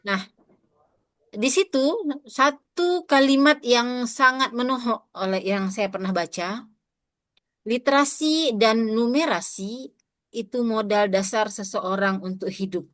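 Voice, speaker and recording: A woman's voice: on the high side, takes it slowly, fairly bright tone, no echo. A woman in her thirties with an Indonesian accent, giving an interactive lecture to teachers, often addressing them directly and asking questions, with frequent fillers.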